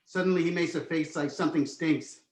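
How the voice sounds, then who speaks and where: A man's voice: a little high, brisk. A man narrating a screenplay's stage directions as scripted reading, recorded in an echoey room.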